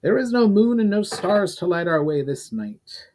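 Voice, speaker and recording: A man's voice: sits high, sing-song, moderate pace, pitched above the performer's own voice, low-quality recording. A man voicing a firm, argumentative military officer in a dramatic fantasy reading. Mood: defiant. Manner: forceful, argumentative.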